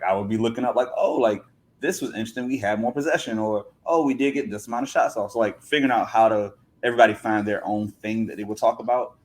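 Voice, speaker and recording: A man chatting casually as a podcast guest, talkative and explaining things at length. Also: fairly low pitch.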